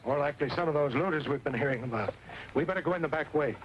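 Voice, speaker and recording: Male actor as hostile western landowner colonel, commanding and curt, rapid speech, muffled vintage soundtrack with clear noise. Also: fairly high pitch, lightly acted. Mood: wary. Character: stern, hostile landowner father and former colonel. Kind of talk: old western film acting. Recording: dull, noisy old film soundtrack.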